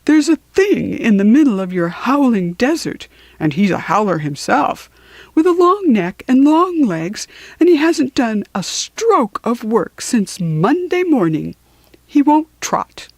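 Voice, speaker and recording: Woman as tattling farm animals, lively swooping pitch. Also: unhurried, no echo, heavily acted. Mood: indignant complaint. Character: earnest complaining horse, dog and ox, farm animals. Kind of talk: children's storybook character reading.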